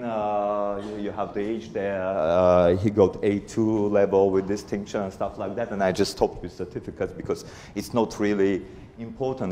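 A man's voice: sits low, dark tone, a little hiss or hum. A man in his forties speaking at a conference in a hall before an audience, hesitant, with many fillers and restarts. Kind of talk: conference talk.

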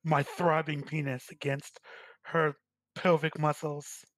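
Flat, higher-voiced man joking. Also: slow, no echo, low-quality recording. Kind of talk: casual joking chat.

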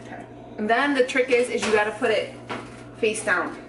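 A woman's voice: on the high side, moderate pace. A woman, chatting casually with filler words and self-joking while she cooks in her home kitchen, picked up by a camera mic as she moves around. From United States. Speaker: vlogger. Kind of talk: casual cook-along vlog. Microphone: camera mic, moving about the room. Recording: echoey kitchen with noise.

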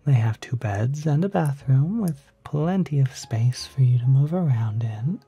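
A breathy, polite demon receptionist acted by a performer in an ASMR roleplay, welcoming a guest with soft salesmanlike reassurance. The delivery is slow and mellow, faintly insidious beneath the courtesy, with music underneath. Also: mid-range voice, lively intonation, dull audio, exaggerated voice. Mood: smooth, inviting.

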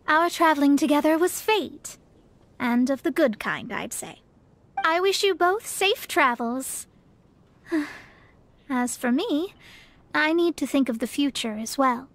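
A woman's voice: high-pitched, a bit bright, no room sound. A woman playing a proud, easily flustered young astrologer witch in a video game, with a dramatic manner. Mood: earnest.

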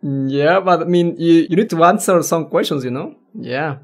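A man's voice: on the high side, normal speed, slight background noise. A man giving casual let's-play commentary, reading lines aloud and rambling, stumbling over words and swearing mildly. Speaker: let's player. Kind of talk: casual reaction commentary while reading a visual novel aloud.

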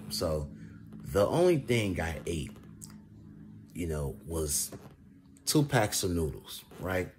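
A young American man chatting casually in a vlog, telling a story with repetitive, emphatic slang and a conversational delivery. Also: low-pitched. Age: young adult. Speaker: food reviewer. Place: indoors, reclining on a couch. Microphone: phone at arm's length. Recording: clear background noise, home phone recording.

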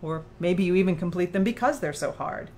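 A woman playing a sharp, articulate female author who challenges and analyzes in audiobook dialogue. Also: lowish voice, fairly quick, slight background noise, close to an everyday voice. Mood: pressing, insistent. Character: sharp, probing female author challenging her own creator.

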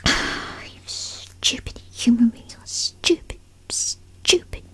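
A woman voicing a bratty, insecure centuries-old kitsune fox spirit in a huffy, breathy ASMR whisper, close to the mic. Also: a bit bright, no echo. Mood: annoyed. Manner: huffy, sighing, breathy whispering, drawn-out words. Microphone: close microphone in a dry space.

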